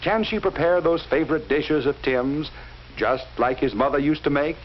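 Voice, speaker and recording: A man narrating a 1940s educational film in a measured, instructive way, speaking directly to the viewer. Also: fairly high pitch. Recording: dull lo-fi 1940s film soundtrack with faint hiss.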